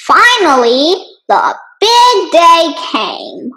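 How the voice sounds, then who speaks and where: A storybook reader giving an expressive, deliberate read-aloud of a children's picture book. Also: very high voice, low-quality recording. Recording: music bed under the voice. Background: music.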